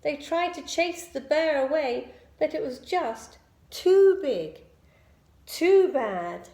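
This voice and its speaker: A woman reading a children's picture book aloud in an expressive storytelling style. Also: high-pitched, slow. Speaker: storybook reader. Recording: echoey room.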